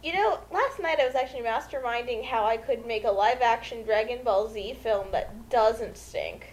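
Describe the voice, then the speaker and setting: A woman's voice: on the high side, normal speed, low-quality recording. A woman doing casual comedic commentary in an emphatic, incredulous manner.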